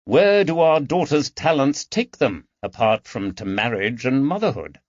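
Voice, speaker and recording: A man voicing an earnest, thoughtful gentleman detective with educated, measured speech in an audiobook. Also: medium pitch, animated, no room sound, pitched above the performer's own voice. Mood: earnest, worried. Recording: dull lo-fi recording.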